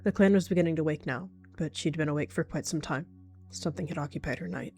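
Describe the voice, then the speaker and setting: A woman's voice: low voice, fast talker, a little mellow. A woman narrating an animal fantasy roleplay in the third person, as a storyteller. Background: faint music.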